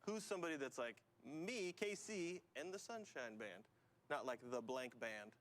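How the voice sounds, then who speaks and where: A man's voice: medium pitch, animated, moderate pace, a bit bright. A man co-hosting a morning encouragement show, chatting casually and riffing on jokes with his partner. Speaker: co-host. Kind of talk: casual chat.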